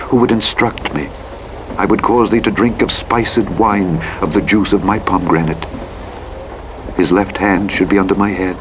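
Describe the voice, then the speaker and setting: A man narrating the Bible in a measured, solemn scripted reading. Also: fairly low pitch, lo-fi sound. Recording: clear vehicle and road noise throughout. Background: truck engine and road sounds from a driving game.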